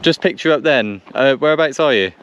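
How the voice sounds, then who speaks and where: A man talks in a casual, practical way, somewhere outdoors with noise behind him. His voice sits at a medium pitch. He thinks aloud, repeats phrases and breaks off to make short radio calls. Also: lively intonation, brisk, a bit bright. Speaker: vlogger testing two-way radios. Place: outdoors on a country lane. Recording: noisy outdoor recording with vehicle sounds.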